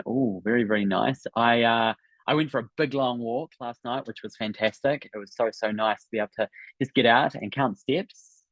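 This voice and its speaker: A man's voice: on the low side, no echo, muffled recording. A man with a New Zealand accent, hosting a live online coaching summit in an upbeat, encouraging, chatty way. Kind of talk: live webinar talk to an audience. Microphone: video call.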